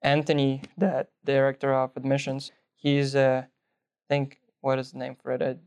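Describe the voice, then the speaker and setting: A man's voice: mid-range voice, monotone, normal speed, a little mellow, clean tone. A young man from Belarus whose first language is Russian, answering interview questions earnestly and sometimes searching for words. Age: young adult. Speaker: guest, nursing student.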